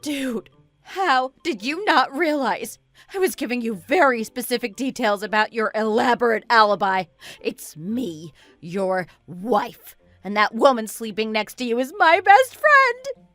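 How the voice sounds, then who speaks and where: Furious betrayed wife, acted by a woman, high-pitched. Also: a little slow, fairly bright tone, soft background music. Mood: sarcastic, angry. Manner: accusing, sarcastic. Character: betrayed wife, furious, scornful, confronting cheating husband. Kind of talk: dramatized audio story acting.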